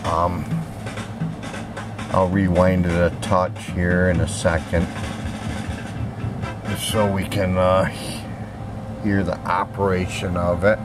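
A man with a low voice gives a casual, off-the-cuff walkthrough of an item he is selling. He is plain-spoken and repeats his reassurances, talking from behind a handheld camera in a room at home, with music clearly playing behind him. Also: little pitch movement, slow pace, long pauses. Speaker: seller demonstrating used audio gear. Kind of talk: casual unscripted product demonstration. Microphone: handheld camera mic, speaker off screen. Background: music playing from a record.

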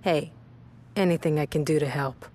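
A woman's voice: low voice, moderate pace. A woman playing a tough, defiant young street racer in a video game cutscene, blunt and curt. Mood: cool. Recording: loud noise behind the voice.

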